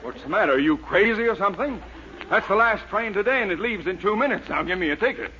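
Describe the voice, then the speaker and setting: A sharp, high voice blusters as an exasperated man in a tearing hurry. The performance is urgent 1940s radio comedy, captured on a dull old recording. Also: animated, fast talker, slight background noise. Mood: urgent, irritated.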